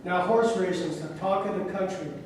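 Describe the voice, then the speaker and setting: A man's voice: high voice, steady tone, normal speed, lightly acted. A man reading lines and stage directions at a staged play reading. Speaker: reader of parts and stage directions. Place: echoey room at a live staged reading. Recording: echoey room, far mic, faint noise.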